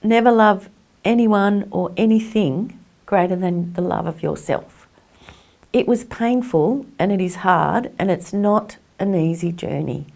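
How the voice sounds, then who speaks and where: Reflective woman with a creaky voice. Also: medium pitch, a little slow, a little mellow. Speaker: carer sharing personal experience. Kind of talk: reflective personal testimony.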